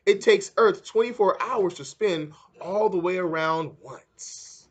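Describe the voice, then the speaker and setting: A man reading a children's book aloud in an expressive, deliberate storytelling way. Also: high voice. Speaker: read-aloud narrator.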